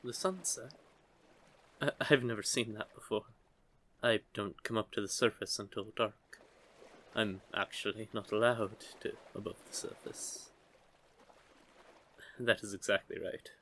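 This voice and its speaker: A man voicing a curious, teasing young merman in an intimate audio roleplay, with a gently formal, measured way of talking. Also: lowish voice, a little hiss or hum. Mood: wistful. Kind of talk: scripted audio roleplay, intimate one-sided conversation.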